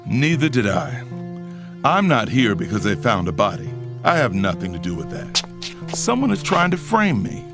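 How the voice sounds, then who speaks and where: A man playing a shrewd, defiant businessman in an audio drama, smooth-talking and confrontational. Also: fairly low pitch, sing-song, normal speed. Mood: indignant. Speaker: first-person narrator and character. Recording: music playing behind the voice.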